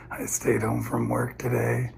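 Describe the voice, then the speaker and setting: A man with a cold and a hoarse voice, rambling through a casual video diary and thinking aloud as he goes. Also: mid-range voice, monotone, slow, a little hiss or hum. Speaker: health vlogger.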